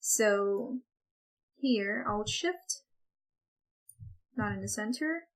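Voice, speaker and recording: A woman teaching an online software course, talking casually with lots of "like" and "kind of", her voice a little hoarse from recording too much. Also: medium pitch, lively intonation, very slow. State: losing her voice, a bit hoarse. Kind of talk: screen-recorded software tutorial.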